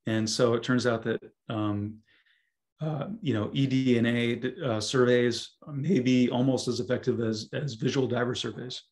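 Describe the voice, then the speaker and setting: Hoarse man giving a halting talk. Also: mid-range voice, fairly even intonation, darkish tone. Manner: halting, many ums and restarts. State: hoarse, losing his voice. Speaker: guest speaker, scientist. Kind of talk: webinar presentation.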